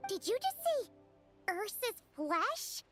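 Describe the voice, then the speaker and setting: Squeaky tiny fairy companion, very high. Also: female voice, very animated, takes it slowly, exaggerated voice. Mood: astonished. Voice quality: squeaky, childlike. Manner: exclamatory, indignant questioning. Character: tiny excitable fairy companion, childlike, blunt and curious. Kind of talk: English video game voice acting. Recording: loud music behind the voice.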